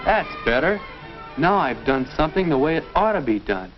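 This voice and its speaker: A man's voice: fairly high pitch, sing-song, music in the background. A young American man acting as an earnest teenage boy thinking aloud in a 1950s educational film. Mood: pleased, realizing. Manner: deliberate, self-questioning, earnest. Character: earnest teenage boy working out his future. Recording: dull vintage 1950s film soundtrack.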